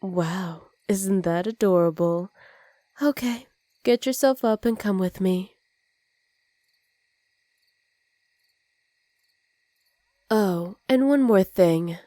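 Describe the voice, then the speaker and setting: A woman voicing a dominant, teasing supervillain in an ASMR roleplay, smug and commanding with soft, intimate delivery. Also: medium pitch, slow, no room sound, close to an everyday voice. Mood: firm, possessive.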